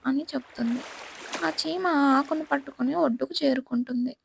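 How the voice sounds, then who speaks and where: High-pitched, creaky woman storyteller. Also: normal speed, a little hiss or hum. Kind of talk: scripted children's story narration.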